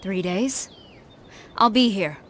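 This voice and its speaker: A woman's voice: medium pitch, animated, slow pace, fairly bright tone, a little hiss or hum. A woman playing a stern, proud, loyal female general in a video game, commanding, formal and earnest, with music behind her. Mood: indignant. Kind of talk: video game cutscene acting. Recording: clear music bed under voice.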